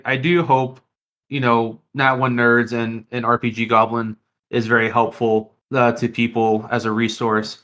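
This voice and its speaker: A man's voice: mid-range voice, fairly bright tone. A man hosting a casual podcast discussion, speaking in an earnest, emphatic way and often repeating his phrases. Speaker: podcast host.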